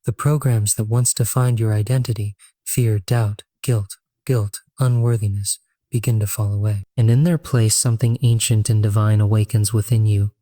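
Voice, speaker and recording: A man narrating a scripted guided sleep meditation in a solemn, measured, earnest way. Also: fairly low pitch, flat delivery, dark tone, no echo, clean studio sound.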